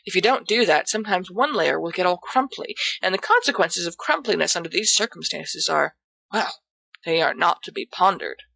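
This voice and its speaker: A woman's voice: lowish voice, expressive, moderate pace, creaky, a bit bright, husky, no echo, rough recording. A woman reading scripted fiction as the narrator of an audiobook. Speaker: volunteer audiobook reader.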